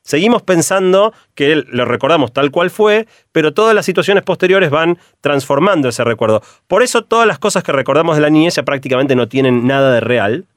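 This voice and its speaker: Argentine radio guy explaining stuff, middle-aged, talks fast, dry close mic. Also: on the high side, dry recording. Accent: Argentine Spanish. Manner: explains in quick, steady runs of examples. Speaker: radio columnist.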